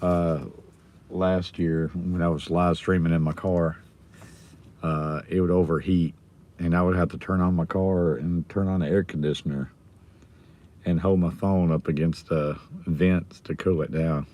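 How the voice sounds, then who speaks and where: A middle-aged man with a hoarse, raspy voice, casually chatting with viewers on his live stream. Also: low voice, fairly even intonation, measured pace, warm tone. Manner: rambling, chatting with viewers by name.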